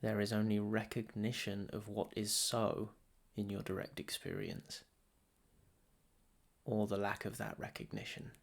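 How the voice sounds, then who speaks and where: A man leading a guided meditation as a teacher, speaking soothingly and deliberately in short phrases with long pauses between them. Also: fairly low pitch, lively intonation, creaky.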